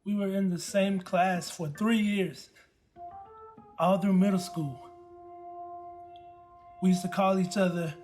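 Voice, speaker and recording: An actor playing a tender, plainspoken young man giving a halting eulogy for a friend in an audio drama. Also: high voice, fairly even intonation, a little mellow. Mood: fond, hesitant. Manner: halting, searching for words. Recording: music under the voice. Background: music.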